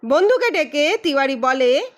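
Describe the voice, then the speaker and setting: A blustering male private detective from an audio mystery, acted out by a woman. The voice is very high and loud, with emphatic, declamatory delivery. He comes across as boastful, ruthless and menacing. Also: very animated, moderate pace. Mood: heated, insistent. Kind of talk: dramatized audiobook reading.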